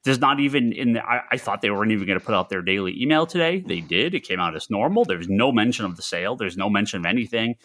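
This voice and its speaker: A man co-hosting a casual podcast on industry news, talking in a halting, thinking-aloud way with emphatic repetition. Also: lowish voice, lively intonation, fairly bright tone. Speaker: podcast co-host who runs a comics store.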